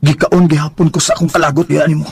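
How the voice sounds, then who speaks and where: A man's voice: a little high, flat delivery, fast talker, vocal fry, slightly rough, dry recording, full character voice. An actor playing an intense, emotional man who is hurt and resentful, in a radio drama. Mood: angry, resentful. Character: wounded, resentful man named Jerry, a returned overseas success.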